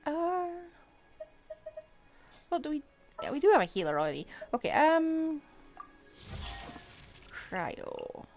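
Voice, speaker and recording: A woman's voice: sits high, big pitch swings, slow, pauses often, a little mellow. A woman streamer giving casual live game commentary, musing aloud and asking herself questions, with faint game music behind her.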